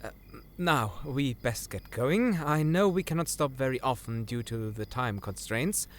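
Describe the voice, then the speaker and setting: Man playing a humble scholar with lively intonation. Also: mid-range voice, a little slow, slight background noise, lightly acted. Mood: humble, formal. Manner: formal, humble, slightly hesitant. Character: earnest, deferential male scholar serving royalty. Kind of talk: fantasy audio drama acting.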